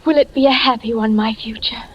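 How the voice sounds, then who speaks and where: A woman playing a gentle young blind peasant girl in a 1940s radio drama, soft and trusting. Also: a little high, steady tone, a little fast, slightly rough. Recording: dull old radio recording.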